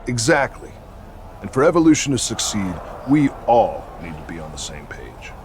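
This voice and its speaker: An actor playing a stern, commanding veteran wrestler who leads a faction in a video game, talking curtly and with authority. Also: male voice, fairly low pitch, sing-song, moderate pace, background noise. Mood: insistent. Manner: curt and authoritative. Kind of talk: scripted video game cutscene acting.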